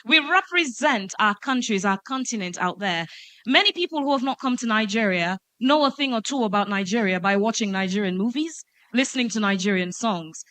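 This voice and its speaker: Bright-voiced woman moderating a panel. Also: on the high side, fairly quick, dry recording. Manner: lively, posing questions. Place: conference stage.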